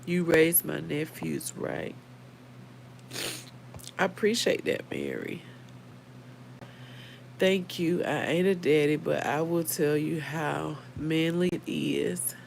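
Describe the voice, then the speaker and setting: A middle-aged American woman, a little hoarse, chatting casually and earnestly on a livestream and speaking straight to her listeners. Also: low-pitched, animated, slow, pauses often, slight background noise. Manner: conversational, earnest, addresses listeners directly. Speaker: livestream host. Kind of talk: casual live chat reading viewer comments.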